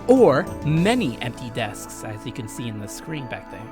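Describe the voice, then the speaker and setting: A man's voice: mid-range voice, big pitch swings, normal speed. A young man reading a visual novel aloud as a let's play host, with irreverent, jokey, sweary casual commentary. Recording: game music behind. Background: music.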